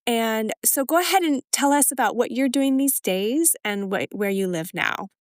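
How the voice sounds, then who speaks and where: A woman's voice: fairly high pitch, moderate pace, smooth, dry recording. Woman podcast co-host, dry recording. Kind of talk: scripted intro and conversational interviewing.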